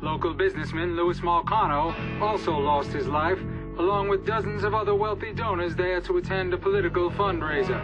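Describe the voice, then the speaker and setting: A man's voice: sits high, fairly quick, highs cut off, lightly acted. Formal male news announcer acted, scripted bulletin, band-limited radio audio with music behind. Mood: solemn, formal. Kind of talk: scripted period newscast.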